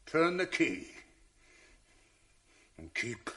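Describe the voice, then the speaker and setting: An actor playing a jealous, enraged general in a radio drama of classical verse, swinging from bitter mockery to fury. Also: male voice, a little high, very animated, very slow, exaggerated voice. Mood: bitter mocking. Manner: bitter, mocking fury swinging soft to loud.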